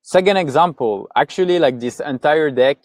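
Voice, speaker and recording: A man's voice: a little high, normal speed, a little mellow, dry recording. A man from Paris with a French accent, presenting his own results in a loose, chatty webinar talk full of fillers and restarts. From France.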